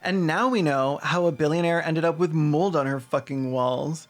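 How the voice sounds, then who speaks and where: A man's voice: on the high side, moderate pace, faint music behind. A man delivering scripted video commentary in a sardonic, casually sweary way. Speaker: video essayist host.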